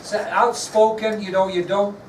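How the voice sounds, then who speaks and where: High-pitched American male teacher, echoey classroom. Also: flat delivery, moderate pace. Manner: explanatory, repeats key phrases for emphasis. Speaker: history teacher. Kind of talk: classroom lecture with questions to students. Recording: echoey room, distant mic, background noise.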